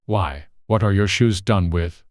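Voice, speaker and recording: A narrator voicing a solemn, impatient griffin with a deep voice in a storybook reading. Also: a little slow, a little mellow, dry recording, clean studio sound. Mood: solemn, knowing. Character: gruff, impatient male griffin creature, solemn know-it-all.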